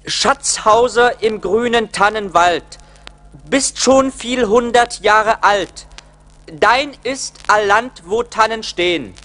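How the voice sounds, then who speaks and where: An actor playing a naive, eager young peasant lad in a radio play, earnest and imploring. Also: male voice, sits high, slow, a bit bright. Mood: pleading. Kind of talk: 1980s German radio play acting. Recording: vintage LP radio play, audible noise.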